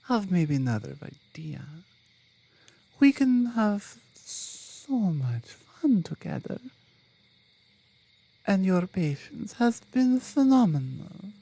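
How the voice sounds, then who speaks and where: Tender mama bear for ASMR roleplay, played by a woman: mellow, low-leaning female voice, halting foreign-sounding phrasing, soft and intimate. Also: no room sound, exaggerated voice. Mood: teasing, affectionate. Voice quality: soft, hushed, slightly breathy. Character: loving, tender female mama bear, devoted mate and mother.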